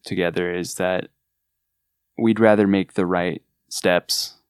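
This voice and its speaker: A man's voice: low-pitched, steady tone, takes it slowly, clear, resonant tone, dry recording, studio quality. A man from California with a laid-back surfer accent, chatting earnestly on a podcast as an artist manager. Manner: earnest, enthusiastic, with frequent restarts. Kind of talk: casual podcast chat.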